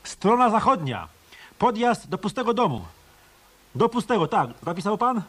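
An actor playing a cool, tough hotel detective in a Polish radio play, speaking tersely, with an unflappable, commanding manner. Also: male voice, high voice, big pitch swings, moderate pace, husky, full character voice. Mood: urgent, clipped.

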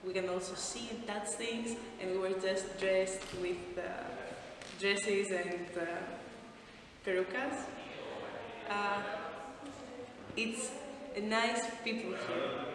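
A young woman from Greece talks casually with a Greek accent at a slow pace. She is off the mic in a very echoey gallery with people murmuring. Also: mid-range voice, fairly even intonation, recorded from a distance, crowd noise. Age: 20s. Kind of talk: casual testimonial to camera. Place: indoor art gallery. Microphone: camera mic at a few feet.

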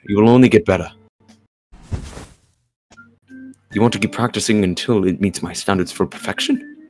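Man playing a low-voiced formal catboy butler. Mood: encouraging. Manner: formal, measured, courteous. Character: polite, exacting catboy butler and cafe mentor. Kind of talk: amateur visual novel dub.

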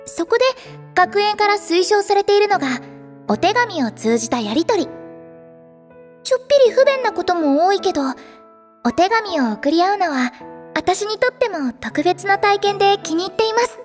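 Casting: sweet, sincere schoolgirl idol narrator for anime drama, very high register, expressive, with music underneath. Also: normal speed, in a large hall. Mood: bright, gentle. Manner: sweet, earnest, expressive delivery. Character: cheerful, earnest teenage girl idol in training. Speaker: narrator and lead character. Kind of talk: poetic Japanese anime audio drama narration. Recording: music behind the voice.

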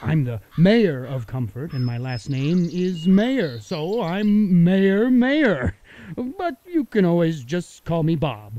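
An actor playing an affable small-town mayor and realtor in a radio play, folksy and eager to please. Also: male voice, fairly high pitch, very animated, slow, warm tone, lo-fi sound. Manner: folksy, accommodating.

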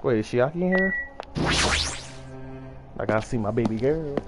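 Man, mid-pitched, slightly scratchy, casual slangy game streamer, swears freely, music behind him. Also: lively intonation, moderate pace. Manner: slangy, profane, talking to viewers. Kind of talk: casual let's play commentary with slangy banter, reading dialogue aloud.

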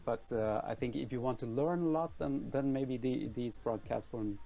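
A man's voice: medium pitch, moderate pace, vocal fry, mellow. A middle-aged Norwegian man with a Norwegian accent, chatting casually as an interview guest, often hesitating and repeating words. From Norway. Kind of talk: casual chat. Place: room at home, video call.

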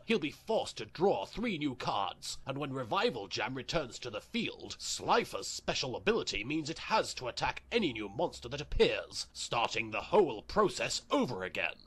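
A man's voice: medium pitch, fairly bright tone, breathy edge. A man voicing a self-assured, dramatic card duelist in a declamatory anime parody dub.

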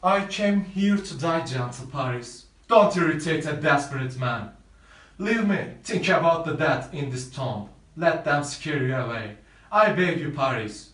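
A man's voice: medium pitch, echoey room, distant mic, lightly acted. A man playing a desperate grieving young lover. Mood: pleading. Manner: swings from pleading shouts to hushed grief. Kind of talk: modern-language play reading.

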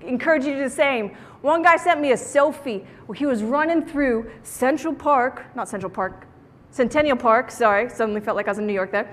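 A woman giving a motivational keynote talk to an audience on stage, with an animated, theatrical delivery and playful emphasis. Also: high-pitched, fairly quick. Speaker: keynote speaker. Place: large indoor conference room, on stage. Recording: echoey conference hall sound.

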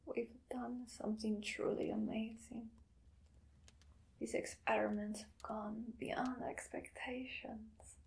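A woman playing a menacing, obsessive female vampire hunter in a whispered ASMR roleplay, slow and deliberate. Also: fairly high pitch, vocal fry, rough recording. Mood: quietly gloating. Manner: slow, hushed, deliberate, dramatic pauses. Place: small dim room indoors.

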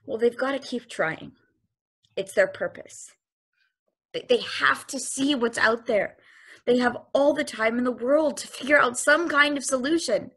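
A naive, stubborn space probe, read by a woman, argues in a high, gravelly voice. Its halting tone turns into insistence. It sounds like a video call table read. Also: a bit bright, heavily acted. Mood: insistent. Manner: hesitant, then insistent.

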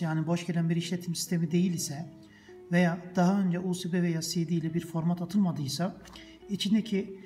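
Tutorial host, male, mellow and patient, talks viewers through steps, soft guitar underneath. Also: high-pitched. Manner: patient, repeats steps, addresses viewers as friends. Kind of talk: casual step-by-step tutorial. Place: indoors at a desk, off camera.

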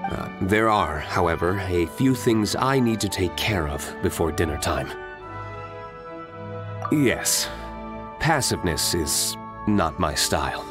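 A brooding young nobleman from a fantasy game. He speaks in a low register with expressive swings, composed, formal and self-assured, with music playing behind him. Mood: serious, resolute. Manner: composed, formal, measured delivery. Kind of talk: video game character dialogue. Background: background music.

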